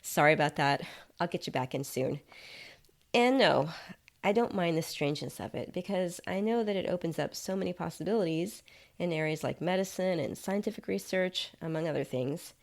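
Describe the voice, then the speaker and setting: A woman's voice: fairly low pitch, expressive, normal speed, vocal fry. A woman voicing a nonchalant male app developer in a short story, chatty and explanatory while inhabiting someone else's body. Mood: nonchalant, reassuring.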